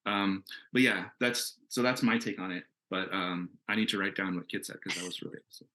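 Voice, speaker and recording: A man's voice: deep voice, fairly quick, bright, forceful tone. A man talking casually and enthusiastically as a comic book creator in a panel discussion, thinking aloud as he goes.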